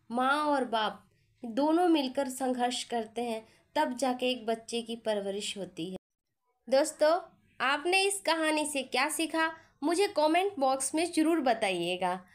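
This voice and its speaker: A woman narrating a scripted moral story as a storyteller, with measured, deliberate phrasing. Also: high-pitched, lively intonation. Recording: echoey, long reverb on the voice.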